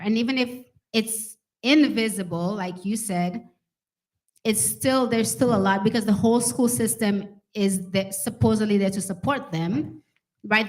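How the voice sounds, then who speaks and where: A woman gives an informal talk as an author and educator. Her voice sits in a middle register. She is chatty and expressive, and often turns to her listeners to check they follow. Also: mid-range voice. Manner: chatty, expressive, addresses listeners with "right?" and "okay?". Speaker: children's author presenting her book; special education teacher. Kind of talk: informal talk and read-aloud to an audience.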